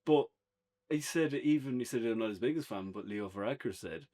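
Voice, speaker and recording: A man's voice: mid-range voice, animated, a little fast, warm tone. An Irish man co-hosting a casual, unscripted review podcast, talking in an informal, conversational way.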